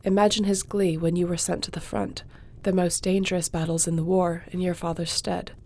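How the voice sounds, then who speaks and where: Woman acting loyal fantasy court sorceress, lowish mellow voice, measured n formal, gently supportive. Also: little pitch movement. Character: loyal, composed court sorceress advising her king. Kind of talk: audio roleplay fantasy acting.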